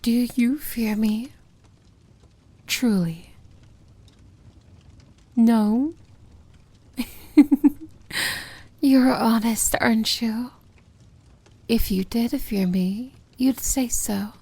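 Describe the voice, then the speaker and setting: A woman playing a seductive, tender yet commanding evil princess in an intimate fantasy ASMR roleplay, speaking softly and often whispering. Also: fairly high pitch, no room sound, exaggerated voice. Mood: teasing, commanding. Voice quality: soft, breathy, often whispered. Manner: slow, intimate, gently commanding.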